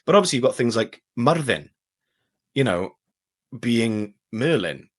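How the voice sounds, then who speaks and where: A man's voice: medium pitch, sing-song, unhurried. A man speaking as an interview guest in a casual, enthusiastic chat. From Wales.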